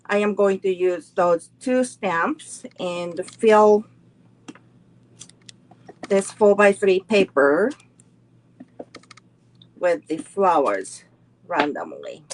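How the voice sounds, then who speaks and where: A woman's voice: lowish voice, big pitch swings, extremely slow, long pauses, slight background noise, rough recording. A middle-aged woman teaching a live online card making class, walking through each step unhurriedly and greeting viewers between steps.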